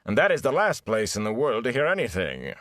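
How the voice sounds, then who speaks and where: A man doing a booming, theatrical old gleeman. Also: huge pitch swings, unhurried, fairly bright tone, no room sound, studio quality, exaggerated voice. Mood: mocking. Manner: grand, booming, scornful asides. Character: flamboyant older male gleeman, theatrical and scornful.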